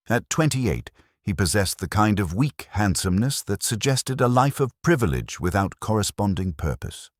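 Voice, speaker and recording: A man's voice: sits low, no echo, studio quality. A man reading a mystery story as a formal, descriptive audiobook narration.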